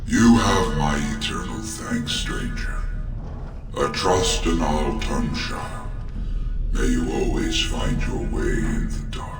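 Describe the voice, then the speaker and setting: Ancient, echoing, solemn golem smith. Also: male voice, low voice, huge pitch swings, gravelly, exaggerated voice. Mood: solemn, grateful. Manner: solemn, formal, deliberate. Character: ancient, weary, remorseful male golem master smith. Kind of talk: fantasy video game acting. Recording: echoey, distant, under loud music.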